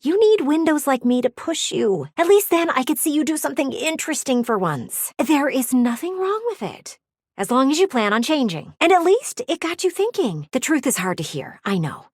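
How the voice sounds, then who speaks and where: An actress voicing a pushy, blunt talking window in a video game, with bossy, dramatic emphasis. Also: female voice, high-pitched, very animated, normal speed, dry recording, clean studio sound. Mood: condescending, insistent.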